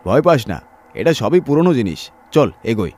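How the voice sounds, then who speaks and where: Young sceptic adventurer, played by a man: bold, eager, confident, pitched up, horror-story style. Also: big pitch swings, moderate pace, darkish tone.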